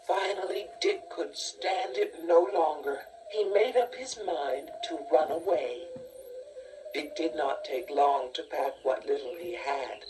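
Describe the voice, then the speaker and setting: A woman reading a scripted children's story in a measured, expressive storytelling manner. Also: deep voice. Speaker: storybook narrator. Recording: distant-sounding cassette tape transfer. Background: music.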